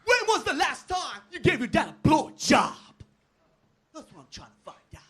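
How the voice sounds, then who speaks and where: Manic Armenian-accented comedian. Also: male voice, extremely high pitch, sing-song, moderate pace. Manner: loud, manic, jagged delivery. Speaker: open-mic comedian. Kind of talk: stand-up set.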